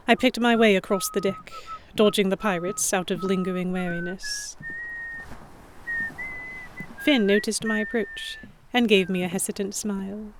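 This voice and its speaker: A woman voicing a young noblewoman heroine, telling her own story in an audio drama. She sounds fretful and introspective, tentative when she speaks up, at a middling pitch. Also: noisy background. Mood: wary, reflective. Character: anxious young noblewoman heroine narrating her captivity among pirates. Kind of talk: first-person scripted narration in audio drama.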